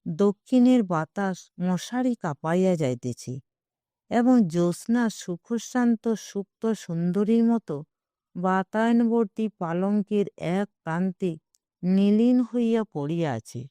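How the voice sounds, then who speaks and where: Female audiobook narrator, slow delivery, soft dark tone, dry close sound, measured expressive reading. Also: mid-range voice, clear, resonant tone, no echo. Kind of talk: literary short story narration.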